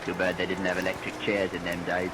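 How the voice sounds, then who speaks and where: An actor playing a folksy, gossipy storyteller who narrates a vintage radio play in colloquial speech, relishing the grisly tale. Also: male voice, low voice, monotone, fast talker, noisy background, natural voice. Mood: wry relish. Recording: vintage radio recording with rain.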